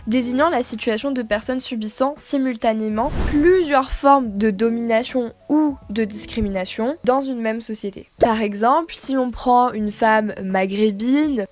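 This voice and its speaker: A woman's voice: fairly high pitch, a little mellow. Casual young french-speaking woman explaining. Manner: casual, informal asides with fillers. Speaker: video creator. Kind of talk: explanatory video essay.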